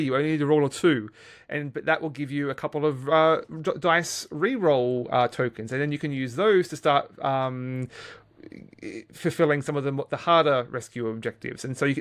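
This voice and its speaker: A middle-aged man co-hosting a podcast, giving a casual game review in a halting, hesitant way with repeated words. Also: mid-range voice. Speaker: podcast co-host.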